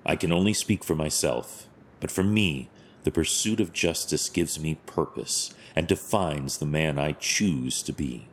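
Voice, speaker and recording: An actor playing a stern but compassionate masked vigilante hero in an audio drama, speaking in a measured, formal, solemn way. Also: male voice, rumbling low, a little hiss or hum. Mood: solemn, earnest.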